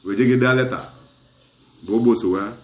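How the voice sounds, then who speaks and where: A man's voice: medium pitch, normal speed. Man with Asaba Igbo accent, echoey room. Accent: Nigerian, Asaba Igbo. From Nigeria. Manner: mixes Igbo and English in long recounting turns. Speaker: community chief giving an interview. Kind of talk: interview account of a community dispute.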